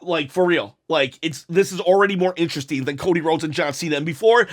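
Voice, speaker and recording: A man hosting a one-take wrestling review, talking in a rambling, self-mocking, jokey way with a raspy, hoarse voice that breaks into coughs. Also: fairly high pitch, fast. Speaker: wrestling review channel host.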